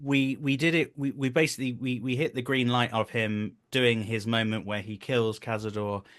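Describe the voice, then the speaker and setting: A man's voice: mid-range voice, moderate pace. Chatty, self-deprecating man telling stories. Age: 30s. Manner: animated storytelling, self-deprecating, jokey. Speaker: interview guest, voice and performance director. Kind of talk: casual interview anecdotes.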